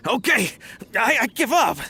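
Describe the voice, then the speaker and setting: A man's voice: very high voice, very animated, normal speed, background noise, full character voice. A man voicing a bumbling, naive young would-be thief in a video game, with a folksy, stammering, sheepish manner. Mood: sheepish, admiring.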